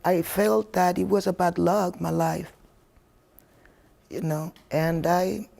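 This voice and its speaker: A woman's voice: fairly low pitch, little pitch movement, takes it slowly, vocal fry, warm tone. A woman from Spain, a native Spanish speaker, answering interview questions emphatically, repeating herself and saying "you know" often. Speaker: interviewed singer.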